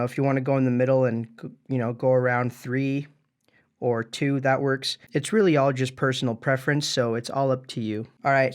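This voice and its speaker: Man giving a casual gear tutorial. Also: medium pitch. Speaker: music gear tutorial presenter.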